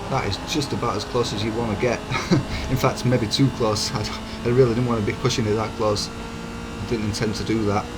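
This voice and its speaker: A man with a hoarse, croaky voice, giving easygoing, chatty commentary over his own racing game footage as a channel host. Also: on the low side, steady tone, moderate pace. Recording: game engine noise behind. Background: racing car engine sounds.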